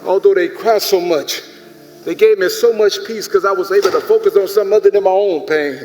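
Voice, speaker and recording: Man acting anguished enslaved husband, echoey high voice. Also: brisk, darkish tone, a little hiss or hum, natural voice. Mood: weary grief. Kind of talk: theatrical stage drama acting. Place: large indoor theater stage. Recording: echoey theater stage, music playing underneath.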